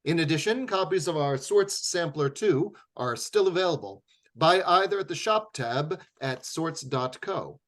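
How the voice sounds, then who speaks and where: Higher-voiced man, formal theatrical announcer. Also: expressive, a little slow, fairly bright tone. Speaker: announcer and host of a live radio drama show. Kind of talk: scripted closing announcements, old-time radio show manner.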